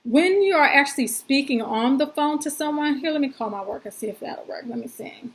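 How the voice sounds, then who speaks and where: A woman's voice: high-pitched, animated, a little fast. A woman presenting an informal step-by-step tutorial in a chatty, folksy and reassuring way, using plain everyday words.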